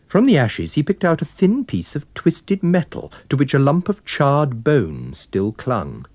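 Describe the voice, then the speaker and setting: Guy narrating a mystery audiobook, very animated melody, dry studio sound. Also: fairly high pitch, measured pace, no echo. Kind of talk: scripted story narration.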